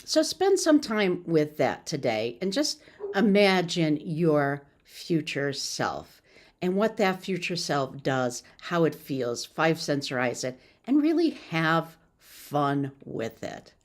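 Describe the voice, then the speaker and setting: A woman's voice: deep voice, very animated, slow pace. A woman giving an informal, encouraging motivational talk as a success coach, sometimes stumbling over her words.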